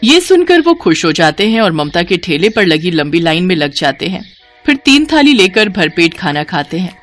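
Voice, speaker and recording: A woman's voice: fairly low pitch, big pitch swings, moderate pace. A woman narrating a scripted story as its storyteller.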